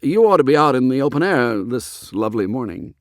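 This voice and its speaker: A man's voice: medium pitch, sing-song, a little fast, no echo, studio quality, pitched above the performer's own voice, close to an everyday voice. A man playing a meek, exasperated middle-aged American businessman with a fretful, hesitant manner in an audiobook. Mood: feeble, flustered.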